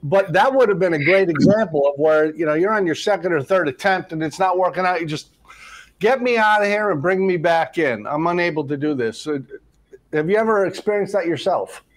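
Older guy moderating a panel chat, voice a bit high, chatty, reading out questions. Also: fairly quick. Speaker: moderator of a panel talk show. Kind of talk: conversational hosting, reading viewer questions. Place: indoor office, on a video call.

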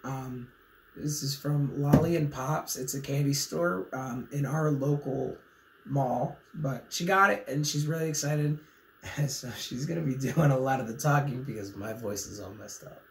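A man's voice: mid-range voice, measured pace, vocal fry. A young man hosting a casual snack taste-test, getting over a cold, easygoing and laughing often, recorded at a table in a small room. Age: young adult. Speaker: channel host. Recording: echoey room, faint noise.

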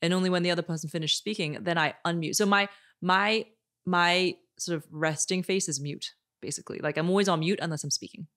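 A woman in her thirties, an etiquette expert guest, talking in a measured, explanatory way and often checking in with "right?", recorded on a boom mic in a studio room. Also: fairly even intonation, fairly bright tone. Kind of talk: interview conversation. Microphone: desk microphone on boom arm.

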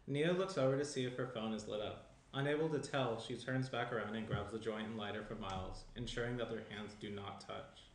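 A man's voice: lowish voice, steady tone, fairly quick, few pauses. A man in his thirties reading stage directions as scripted narration at a table read. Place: small indoor room at a table. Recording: roomy, faint background noise.

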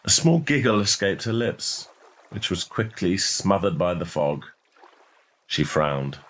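Low-pitched man narrating a story. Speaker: short story reader. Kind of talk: scripted literary narration.

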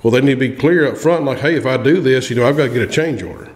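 A man's voice: mid-range voice, racing. A man, a construction veteran giving practical advice on a podcast in a small room, plain-spoken with frequent restarts. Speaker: construction industry veteran guest. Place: small room with a table, indoors. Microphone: studio mic on a boom arm. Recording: echoey room with long reverb.

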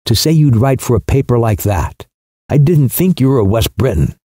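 A man's voice: mid-range voice, animated, normal speed, dark tone, no echo, studio quality, lightly acted. A man voicing a frank, talkative young woman who asks blunt, pressing questions. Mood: reproachful.

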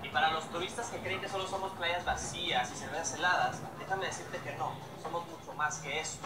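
Proud young man narrating over loud music. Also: a little high, fairly quick, echoey room, distant mic, rough recording. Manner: proud, declamatory. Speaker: narrator of a patriotic video.

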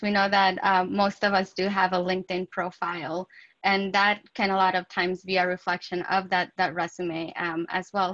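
A woman's voice: mid-range voice, fairly bright tone. A woman moderating a webinar panel, upbeat and introducing the questions. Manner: upbeat, says "awesome" often. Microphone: video call. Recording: muffled video call audio.

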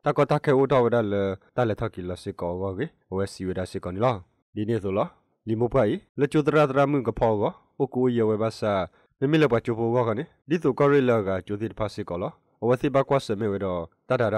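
A man's voice: mid-range voice, quick pace, darkish tone, no room sound, studio quality. A man presenting radio news, reading in a newsreader's style.

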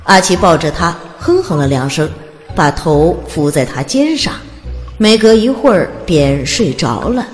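A woman's voice: fairly low pitch, lively intonation, measured pace. A woman reading scripted audiobook narration as the storyteller. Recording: long reverb, music underneath. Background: music.

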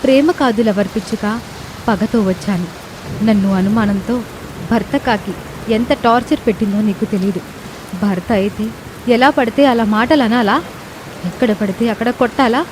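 Woman as high-voiced melodramatic cartoon wife sparrow, noisy audio. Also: normal speed, long pauses, close to an everyday voice. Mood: bitter, angry. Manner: pleading, melodramatic. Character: aggrieved, vengeful wife sparrow in a bird cartoon.

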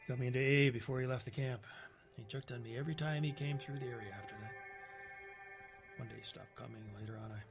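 A man's voice: mid-range voice, steady tone, fast, gravelly, over music, lightly acted. Man acting a haunted older Native storyteller, Ovid. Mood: subdued. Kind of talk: audio drama storytelling.

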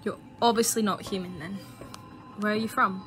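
A woman's voice: mid-range voice, steady tone, moderate pace, fairly bright tone, faint music behind, lightly acted. A young woman playing a bold, curious, sceptical university student in a fan audio drama. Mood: curious, teasing.

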